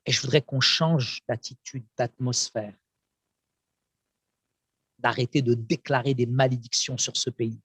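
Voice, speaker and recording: A man with Arabic as his first language speaks as a pastor, fervent and emphatic, pausing and repeating words for weight. His voice is mid-pitched and somewhat husky. The sound is dry and close, with little room echo. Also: steady tone, fairly bright tone. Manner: fervent, emphatic, with repetitions and pauses. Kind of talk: encouraging testimony address.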